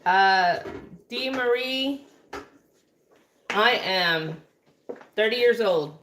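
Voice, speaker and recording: A talkative woman in her forties with a West Texas accent and an affectionate, easygoing way of speaking. She calls people sweetie and baby while serving breakfast, in a home kitchen with a soft hiss behind her. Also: medium pitch, lively intonation, very slow. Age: 40s. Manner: chatty, affectionate, reads out viewer names between serving food. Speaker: livestream co-host. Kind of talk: casual kitchen chat on a livestream.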